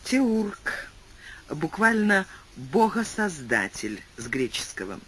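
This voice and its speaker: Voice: slow, a little hiss or hum. An audiobook narrator giving a scripted literary reading and reading explanatory footnotes aloud. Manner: animated reading with wide pitch swings.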